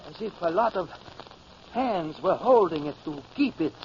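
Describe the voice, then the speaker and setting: An actor playing a dutiful, anxious submarine lieutenant in an old radio drama, deferential and hesitant. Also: male voice, high voice, expressive, normal speed. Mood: uneasy, hesitant. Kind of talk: 1950s radio drama acting. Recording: noisy, muffled vintage radio recording.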